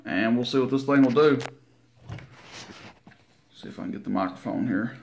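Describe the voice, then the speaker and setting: Guy, medium pitch, folksy and unhurried, showing off gear on a home video. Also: creaky, darkish tone, slight background noise. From Tennessee, United States. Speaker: CB radio hobbyist presenting his equipment. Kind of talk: casual hands-on demo narration. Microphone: handheld camera mic, operator behind it.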